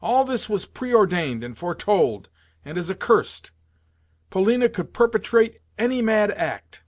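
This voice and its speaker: A man voicing an excitable, bitter, jealous young man in an audiobook novel, speaking fervently in exclamations. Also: high voice, normal speed, no room sound, pitched above the performer's own voice, natural voice. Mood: furious, bitter. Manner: fervent, exclamatory, broken repetitions.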